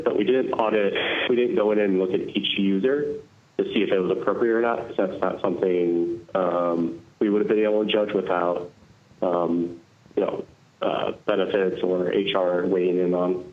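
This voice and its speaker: A man's voice: low-pitched, fairly even intonation, normal speed. A man consultant calling in to a meeting, explaining audit work steadily with many ums. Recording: tinny phone line.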